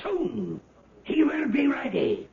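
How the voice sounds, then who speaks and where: An actor playing a fanatical nationalist demagogue in a 1970s radio drama, rallying followers with declamatory, fervent oratory. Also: male voice, extremely high pitch, huge pitch swings, takes it slowly, vocal fry, over loud music, heavily acted. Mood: fervent, reverent. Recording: slightly dull old radio broadcast.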